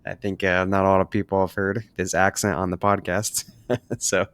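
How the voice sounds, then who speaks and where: A podcast host, a man, speaks in a fairly low, smooth, clear voice. The mic is close and dry. He rambles through his questions with plenty of fillers. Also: little pitch movement, moderate pace, a bit bright, dry recording. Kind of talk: casual podcast interview.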